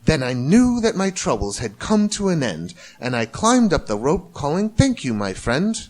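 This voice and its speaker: A man's voice: fairly high pitch, wildly swooping pitch, moderate pace, a little mellow. A man narrating a rhyming storybook with theatrical, rhythmic delivery. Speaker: amateur storybook reader.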